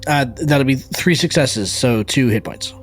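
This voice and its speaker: A man's voice: medium pitch, animated, measured pace, faint music behind. A man talking casually as a player in a tabletop game, working through his moves and rules.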